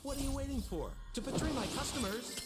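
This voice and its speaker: A woman's voice: high voice, quick pace, natural voice. A woman playing a self-assured, teasing merchant fighter in a video game. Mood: sly. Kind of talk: video game combat lines. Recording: loud game music and effects over voice.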